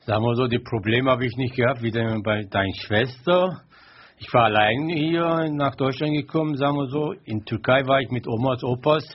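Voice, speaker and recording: A man in his fifties with a husky, breathy voice, speaking in simple, halting phrases. The sound is dull and lo-fi, like an old radio recording. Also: mid-range voice. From Turkey. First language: Turkish. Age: 50s. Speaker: studio guest. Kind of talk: casual studio chat.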